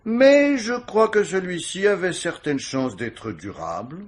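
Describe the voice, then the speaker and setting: An actor playing an urbane, witty, self-absorbed older gentleman of leisure in a radio mystery, speaking with mannered, ironic asides. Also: sits high, big pitch swings, a little slow, exaggerated voice. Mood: philosophical, cynical. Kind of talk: vintage French radio mystery acting.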